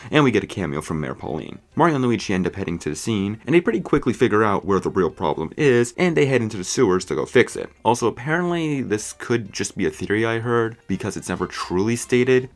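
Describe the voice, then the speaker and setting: A man narrating a scripted movie review as commentary. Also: fairly low pitch. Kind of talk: scripted video essay commentary.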